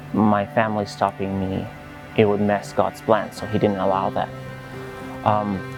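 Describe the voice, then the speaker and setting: A young man from Kosovo with an Albanian accent, telling his personal church testimony in an earnest, halting way. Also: lowish voice, gravelly, poor audio. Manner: earnest, halting, many fillers and restarts. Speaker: church leader giving testimony. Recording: music playing behind.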